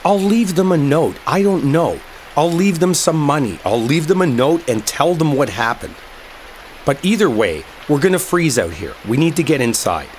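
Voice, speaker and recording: A man's voice: on the high side, expressive, moderate pace, slight background noise, lightly acted. A man playing an exasperated, sarcastic, stubborn guy in a dramatic roleplay audio, talking impatiently with rain behind him. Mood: agitated, urgent. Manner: impatient, sardonic, conversational, with mild swearing. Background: rain storm ambience.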